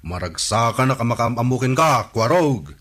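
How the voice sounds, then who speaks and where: A man's voice: mid-range voice, sing-song, slow, a bit bright, heavily acted. A man with an Ilocano accent playing a cheerful young villager in a comedy radio drama, who laughs after nearly every remark. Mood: giggly, cheerful.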